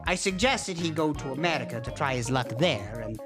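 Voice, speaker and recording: A man's voice: fairly high pitch, animated, brisk, over music, lightly acted. A man playing a genteel count and horror host, speaking with a theatrical, formal, grandiose manner. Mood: hammy storytelling.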